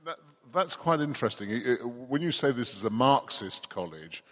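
A man's voice: medium pitch, big pitch swings, normal speed, fairly bright tone. A man conducting an interview, asking long, winding questions with a dry wit. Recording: long hall reverb.

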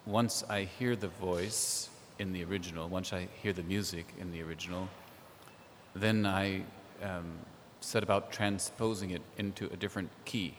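A man talking as a literary translator on a panel, thoughtful and hesitant, with many pauses and ums. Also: low voice, steady tone, slight background noise. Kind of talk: panel discussion. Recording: echoey hall.

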